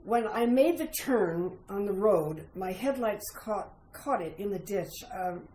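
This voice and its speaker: A woman's voice: medium pitch, animated, unhurried, mellow, close to an everyday voice. A woman playing a guarded, hesitant doctor bringing grim news in a retro radio mystery. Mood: grave, hesitant. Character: uneasy, reluctant doctor bearing grim news. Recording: roomy sound with faint noise.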